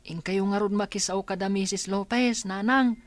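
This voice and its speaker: A woman's voice: mid-range voice, steady tone, moderate pace, no room sound, natural voice. Woman playing an earnest, polite young schoolboy. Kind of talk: Ilocano radio comedy-drama acting.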